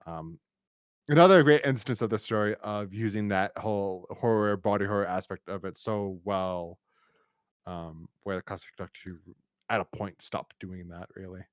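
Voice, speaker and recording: A man's voice: lowish voice, dry recording, studio quality. A man co-hosting a podcast, chatting casually about an audio drama in a rambling way, often restarting and repeating his words. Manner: rambling, halting with frequent false starts and repeated words. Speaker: podcast co-host.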